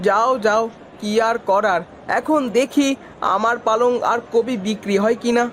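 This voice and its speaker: A man voicing a mild but stubborn, honest village farmer in an animated moral tale. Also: very high-pitched, measured pace, a little hiss or hum.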